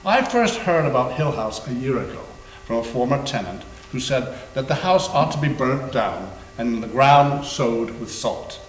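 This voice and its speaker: A man playing an erudite investigator of haunted houses in a staged reading, telling his ghost story gravely with deliberate pauses. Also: mid-range voice, natural voice. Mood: grave, measured. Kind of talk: staged readers theater performance. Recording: echoey room with faint hum.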